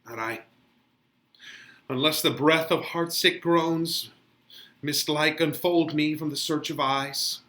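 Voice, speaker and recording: A man's voice: fairly high pitch, fairly even intonation, takes it slowly, pauses often, noisy background. A man playing a lovesick, despairing young lover, declaiming Shakespeare with tearful, melodramatic anguish. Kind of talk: dramatic Shakespeare reading.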